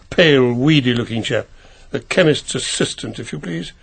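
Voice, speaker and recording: An actor playing a respectable, anxious older family solicitor in a radio drama, formal and precise with some hesitation. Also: male voice, medium pitch, normal speed, vocal fry, fairly bright tone, slightly rough. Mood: explanatory, precise.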